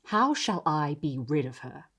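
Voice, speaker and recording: Storybook Countess, female reader: haughty, jealous, cold and commanding, lowish voice with dramatic swings. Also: sing-song, measured pace, fairly bright tone, breathy edge, exaggerated voice. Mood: cold scheming. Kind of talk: storybook character reading.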